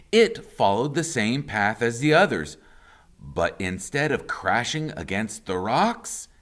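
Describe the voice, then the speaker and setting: A man reading a children's story aloud as a teacher would, with expressive, suspenseful narration and drawn-out pauses. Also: medium pitch.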